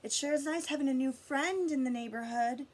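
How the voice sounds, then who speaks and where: A woman's voice: sits high, brisk, natural voice. A woman voicing an earnest, emphatic young boy in a picture-book story. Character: earnest young boy narrator speaking up to friend and dad.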